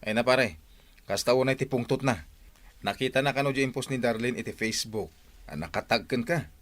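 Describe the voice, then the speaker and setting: A man's voice: medium pitch, a little fast, fairly bright tone, close to an everyday voice. A man acting as a frank friend admonishing his buddy in an Ilocano radio drama, in a conversational way. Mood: urgent, chiding. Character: frank male friend breaking bad news to his buddy.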